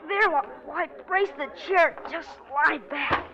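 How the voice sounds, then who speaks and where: A woman's voice: sits high, takes it slowly. A woman playing a timid, concerned youngster in 1940s radio drama, with simple, hesitant earnestness. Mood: worried, earnest. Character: timid, concerned youngster helping a sick man. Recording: dull, noisy old radio recording.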